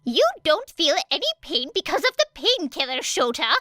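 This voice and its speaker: A woman voicing a fussy, scowling old lady nurse with a sharp, exasperated manner in an audiobook. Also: high voice, very animated, moderate pace, dry recording, clean studio sound, voice pushed up, heavily acted. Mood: scolding. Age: older. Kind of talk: audiobook character acting.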